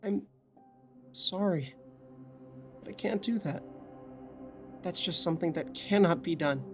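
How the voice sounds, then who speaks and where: A woman's voice: lowish voice, a little slow, music in the background, close to an everyday voice. A woman playing an apologetic, withdrawn young witch in an audio drama, hesitant and subdued. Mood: guilty, pleading.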